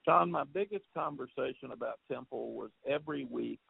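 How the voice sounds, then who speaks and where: Man, very gravelly, husky, football coach, rambling answers, tinny phone line, dry. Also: medium pitch, a little fast. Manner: rambling, repeats words and fills with "uh". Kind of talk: press conference call answers.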